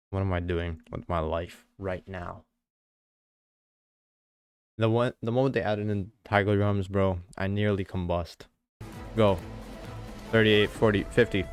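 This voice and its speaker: A man chatting casually as he reacts to game music, excitable and thinking aloud as he ranks tracks. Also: on the low side, smooth. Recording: music playing in background.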